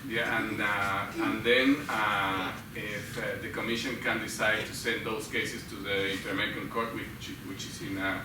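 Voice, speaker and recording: Low-voiced Guatemalan man in an echoey room. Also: flat delivery. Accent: Guatemalan Spanish. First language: Spanish. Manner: halting, repeating words. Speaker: interview guest, human rights lawyer. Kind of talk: casual live interview answers. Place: live show venue with an audience of about fifty. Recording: roomy echo, distant mic, loud background noise.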